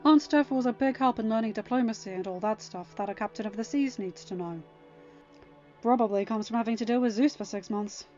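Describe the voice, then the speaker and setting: Woman as a self-assured, mellow girl sea captain. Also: a little high, a little fast, lightly acted. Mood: matter-of-fact. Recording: dull lo-fi audio. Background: faint music.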